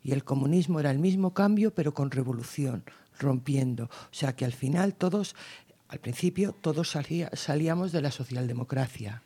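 A woman from Guadalajara, Spain, speaking as a political campaigner on a radio panel, earnest and emphatic, slowing down to stress her points. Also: extremely low pitch, expressive, mellow, husky.

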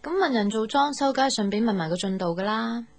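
A woman's voice: on the high side, fast talker, vocal fry, dry recording, soft background music. An actress playing a sensitive, insecure young girlfriend in a Cantonese radio drama. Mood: polite. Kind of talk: Cantonese radio drama acting with inner monologue.

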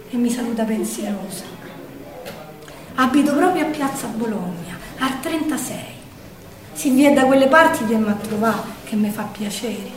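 High-voiced, shaken young Roman woman, acted on stage. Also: close to an everyday voice. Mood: subdued, tender. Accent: Roman Italian. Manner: emotive, hushed to urgent, with weighted pauses. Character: shaken young woman partisan caught in a wartime air raid. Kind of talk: dramatic theatrical monologue. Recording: roomy echo, distant microphone.

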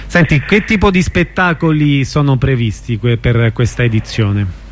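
A man's voice: medium pitch, normal speed. A man interviewing a guest on the radio in a casual, conversational style. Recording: noisy radio broadcast audio.

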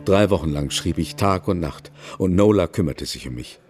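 A man playing an older, haunted novelist who confides in a measured way in a German radio drama. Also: low-pitched, music in the background, close to an everyday voice. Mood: wistful, nostalgic. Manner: measured, confessional storytelling.

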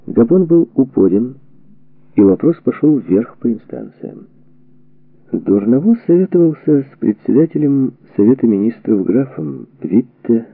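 A man reading a historical book as a scripted audiobook narration, in a measured, deliberate way with weighted pauses, on a narrow lo-fi recording. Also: mid-range voice, vocal fry, no echo. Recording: narrow phone-like band, lo-fi audio.

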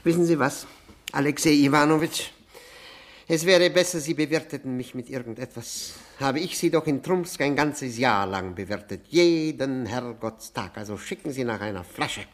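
Male actor as embittered cuckolded widower, mid-pitched, mock-courteous, insinuating, self-abasing, needling questions, vintage German radio play. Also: normal speed, lightly acted. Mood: wheedling. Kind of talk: 1960s German radio drama acting.

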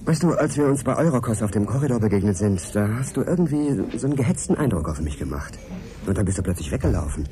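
An actor playing an inquisitive, courteous middle-aged journalist in a naturalistic radio drama, probing and earnest in conversation. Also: male voice, on the low side, sing-song, fast. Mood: tentative, apologetic. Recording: crowd chatter behind, café scene. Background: café crowd chatter.

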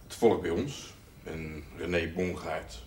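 A man's voice: sits low, slow pace. An actor playing a blunt, skeptical young village man, the son, in terse television drama dialogue. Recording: noisy, echoey, distant microphone.

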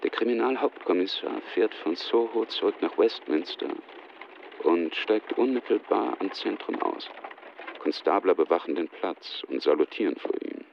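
Very deep, gravelly male narrator. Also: normal speed, highs cut off, noisy background. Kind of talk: scripted radio drama narration. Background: music.

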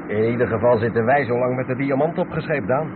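A patient, knowledgeable male truck driver played by an actor in a radio drama. His voice sits at an ordinary pitch and he explains things carefully. The old recording is tinny, with clear noise behind him. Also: fast talker, natural voice. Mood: explaining.

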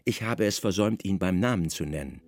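A man's voice: low-pitched, lively intonation, fairly quick, a little mellow, pitched below the performer's own voice. An actor playing a sly, good-natured Roman spymaster in a radio drama, deferential and careful in his courteous replies. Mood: apologetic.

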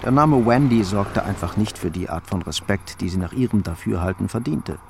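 A man's voice: fairly low pitch, lively intonation, moderate pace, vocal fry, darkish tone, slight background noise. A man reading scripted narration as the storyteller of a radio crime drama.

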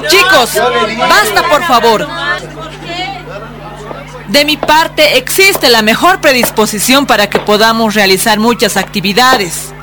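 A woman playing a formal, admonishing schoolteacher in her thirties in a radio drama, speaking in measured phrases. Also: fairly high pitch, animated. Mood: scolding. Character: earnest 35-year-old schoolteacher addressing an unruly class. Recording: music and classroom chatter behind.

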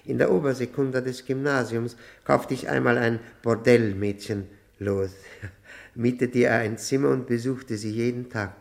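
An actor in a German radio play playing a troubled, educated convicted man with a wry, halting, formal way of speaking. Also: medium pitch, fairly even intonation, vocal fry. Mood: wry, confessional.

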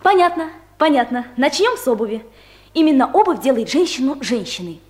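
Lively, high-voiced young secretary. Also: female voice, brisk. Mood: confident, lecturing. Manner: instructive, emphatic, self-assured. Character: brisk young female secretary, fashion-savvy and blunt. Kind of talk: 1970s Soviet radio comedy acting.

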